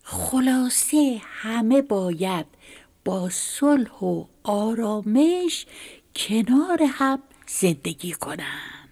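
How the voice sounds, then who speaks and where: A woman voicing a sly, scheming male fox in a children's storybook tale, calling out in drawn-out, excited tones. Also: on the high side. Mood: persuasive.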